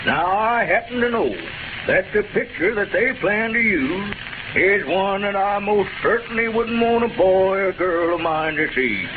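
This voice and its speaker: A scratchy, thin old radio broadcast with heavy noise. a sanctimonious small-town squire with a drawl, his pitch swooping as he hems, haws and flatters with pompous, oily politeness. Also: a little slow, phone-quality sound. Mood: sanctimonious, conniving. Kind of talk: 1930s radio comedy acting.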